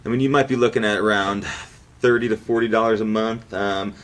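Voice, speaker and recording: A man's voice: lowish voice, steady tone, a little fast, fairly bright tone, far from the mic. A man in his thirties speaking as an interview guest, explaining things in a casual, informal way like an insurance agent. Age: 30s.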